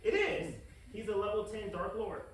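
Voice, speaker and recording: A man playing a smug, greedy, wicked scientist in an animated comedy table read, stammering and brushing others off. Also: sits high, normal speed. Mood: defensive. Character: smug wicked male mad scientist, greedy, childishly defensive, scheming. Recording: roomy echo, distant mic, faint hiss.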